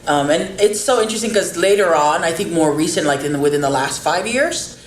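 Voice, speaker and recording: Low-voiced woman hosting a faith podcast. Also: animated, a little fast. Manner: earnest, encouraging, speaks of faith and family. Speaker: podcast host. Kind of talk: casual interview chat. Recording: roomy with faint background noise.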